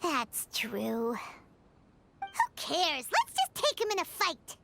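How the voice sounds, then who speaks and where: A woman voicing a small, childlike, excitable fairy companion in a video game, squeaky and blunt. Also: takes it slowly, gravelly, over loud music, heavily acted. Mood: scheming. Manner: blunt, exclamatory, refers to self by name.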